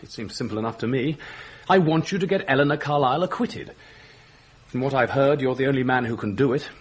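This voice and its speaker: An actor playing a blunt, earnest English doctor in a radio drama, with a husky voice and an insistent manner. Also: male voice, medium pitch, a little fast, close to an everyday voice.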